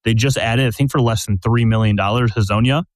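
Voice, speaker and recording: A man's voice: medium pitch, steady tone, brisk, no room sound, clean studio sound. A man hosting a casual sports podcast, talking in a conversational way, full of fillers, and steering the talk with questions. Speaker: podcast host. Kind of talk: casual chat.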